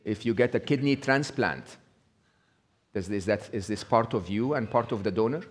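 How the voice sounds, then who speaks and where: A man's voice: on the low side, moderate pace. A middle-aged man giving a live talk, conversational, checking in with the audience with a frequent "okay?". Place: small indoor venue with brick wall.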